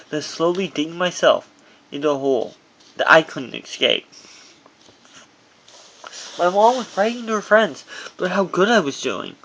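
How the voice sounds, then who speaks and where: A man narrates a personal memoir as its author. His voice sits a little high for a man. The reading is halting, with occasional stumbles over the words. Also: a bit bright, poor audio.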